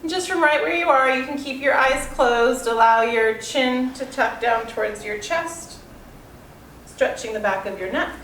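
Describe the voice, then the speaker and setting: A woman teaches yoga with calm, drawn-out guidance, her voice slightly nasal from congestion. She is picked up from a distance in an echoey room with a faint ambient hiss underneath. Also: sits high. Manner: calm, unhurried instruction with soft trailing phrases. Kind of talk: guided yoga class. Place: room with yoga mat, indoors. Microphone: far camera.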